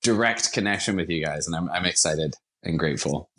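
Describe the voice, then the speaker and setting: A man's voice: low voice, fairly quick, fairly bright tone. A man speaking as a podcast guest in conversation, appreciative, with hesitant phrasing. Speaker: podcast guest, theater company founder. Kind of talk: interview conversation.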